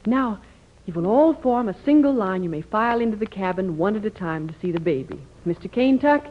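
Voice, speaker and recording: A woman's voice: medium pitch, expressive, fairly quick, close to an everyday voice. An actress playing a prim, commanding frontier woman in a 1950s radio drama, with an American accent. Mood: brisk, firm. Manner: commanding, crisp. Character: bossy, prim, no-nonsense frontier woman minding a baby. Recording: dull 1950s radio recording.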